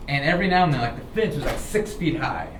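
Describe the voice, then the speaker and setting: Man, American accent, animated storyteller, informal and dramatic, echoey room, distant microphone. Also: fairly high pitch, fast talker. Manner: animated, dramatic, repeats "okay" to check in. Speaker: storyteller, show host. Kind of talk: informal personal storytelling to listeners. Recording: roomy, distant, clearly noisy recording.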